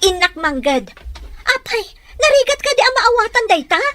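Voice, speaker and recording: A woman's voice: extremely high pitch, sing-song, normal speed, fairly bright tone, exaggerated voice. A woman with a Filipino accent playing a frustrated, defiant wife in a radio soap drama. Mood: angry, defiant.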